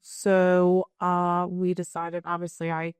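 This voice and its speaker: Native German speaker, woman in her fifties, podcast guest. Low-ish, clean, dry close-mic sound. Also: completely flat delivery, slow, clear, resonant tone, dry recording. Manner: chatty storyteller, self-deprecating. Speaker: guest, business owner. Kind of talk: casual interview chat.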